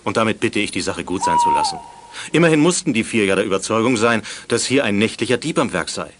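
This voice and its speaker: A male school director in a 1980s German radio play. He speaks formally and placatingly, his pitch sweeping very widely, with faint noise behind him. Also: huge pitch swings, fast talker. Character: male school director, theatrical, conciliatory authority. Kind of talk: 1980s German youth radio drama acting.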